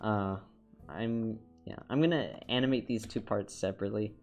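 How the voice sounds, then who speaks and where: Young male streamer, congested and nasal, rambling casual chat, slightly low voice. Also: moderate pace, gravelly, a little mellow, faint music behind. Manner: rambling, repeats words and restarts, laughs often.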